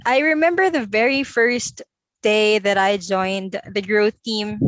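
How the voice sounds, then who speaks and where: A woman's voice: mid-range voice, measured pace, no echo, lo-fi sound. A young woman, talking about her job at home as an interview guest, chatty and laughing easily. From Philippines. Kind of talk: casual online interview. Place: home room.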